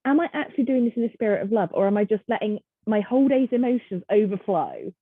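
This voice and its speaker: Lively breathy woman on a phone-like line. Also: mid-range voice, fast talker, no echo. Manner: animated, acts out dialogue in her stories. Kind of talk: casual conversation. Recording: dry, phone-like sound.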